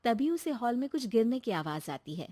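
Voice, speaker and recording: A woman's voice: on the high side, sing-song, moderate pace, heavy background noise. A woman reading a scripted comic family story as its narrator.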